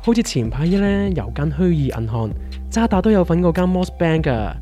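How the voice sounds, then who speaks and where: A man's voice: on the high side, very animated, normal speed, warm tone, soft background music. Man acting as theatrical, swooping veteran small-time trader. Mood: explaining. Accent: Hong Kong. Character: excitable male veteran retail stock trader, teasing know-it-all. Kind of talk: Cantonese comic radio drama dialogue.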